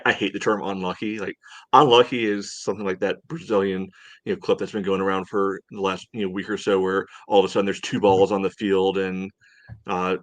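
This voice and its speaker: A man hosting a soccer podcast, chatting casually through match analysis in a halting way full of filler phrases. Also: sits low, no echo. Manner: halting, peppered with "you know".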